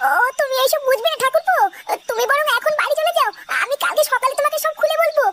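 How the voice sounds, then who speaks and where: Cartoon flirt, woman performing: village sister-in-law, piercingly high pitch, brisk pace, coy and suggestive. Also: background noise. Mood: flustered. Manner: coaxing, suggestive. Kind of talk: comic cartoon dialogue.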